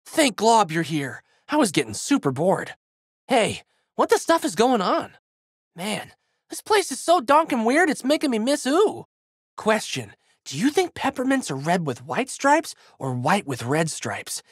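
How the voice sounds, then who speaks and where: A man's voice: sits high, big pitch swings, unhurried, husky, no echo, clean studio sound, full character voice. A man voicing a plucky, goofy teenage boy hero with slangy, exuberant delivery for a video game. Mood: upbeat, chatty. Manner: exuberant, slangy surfer-dude boyishness. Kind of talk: video game character voice lines.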